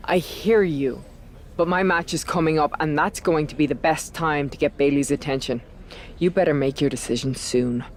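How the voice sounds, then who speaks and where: A woman's voice: low voice, moderate pace, a little hiss or hum, natural voice. A woman playing a brash, scheming veteran wrestler in a video game cutscene, talking in a persuasive, conversational way. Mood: confident.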